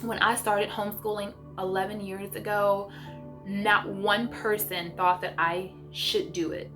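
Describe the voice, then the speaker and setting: A woman's voice: medium pitch, little pitch movement, measured pace, sharp tone, faint music behind. A woman chatting casually to her viewers in an effusive, encouraging way, as the host of a homeschooling vlog.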